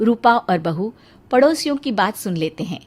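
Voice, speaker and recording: A woman's voice: mid-range voice, animated, normal speed, darkish tone. A woman narrator reading a scripted family story.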